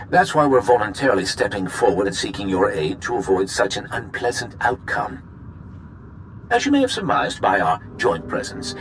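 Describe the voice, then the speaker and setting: An actor playing a smug, slick sci-fi corporate executive in a video game, with a pompous, glib, teasing manner. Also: male voice, mid-range voice, low-quality recording. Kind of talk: video game cutscene acting.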